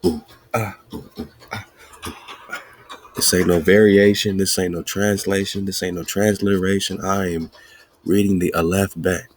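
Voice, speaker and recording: A man's voice: low voice, steady tone, moderate pace, pauses often, slight background noise, low-quality recording. A man hosting a podcast, giving an emphatic, chanting, preaching-style teaching monologue, laughing often. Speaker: podcast host.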